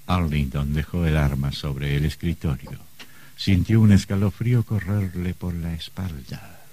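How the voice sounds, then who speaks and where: An actor playing a grave, ominous narrator in a scripted radio horror drama. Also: male voice, low-pitched, steady tone, measured pace, warm tone, a little hiss or hum.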